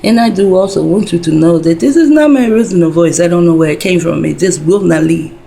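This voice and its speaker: A woman's voice: fairly low pitch, a little fast, creaky, warm tone, slight background noise. A woman in her fifties talking in a rambling casual monologue, wandering between thoughts, full of fillers and profanity. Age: 50s.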